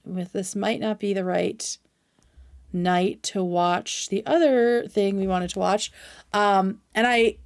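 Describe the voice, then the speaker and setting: A woman's voice: mid-range voice, fairly even intonation, takes it slowly, no echo, clean studio sound. A woman hosting a livestream, chatting casually and informally with viewers, with some swearing. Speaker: livestream host. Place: indoors at home.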